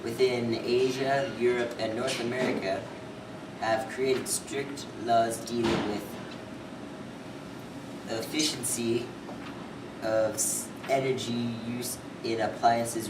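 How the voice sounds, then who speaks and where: A man's voice: mid-range voice, flat delivery, slow, natural voice. Man playing noisy, distant puppet interviewee. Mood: halting, informative. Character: male puppet interviewee explaining environmental problems. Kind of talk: scripted puppet show interview. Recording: very noisy, echoey, distant amateur recording.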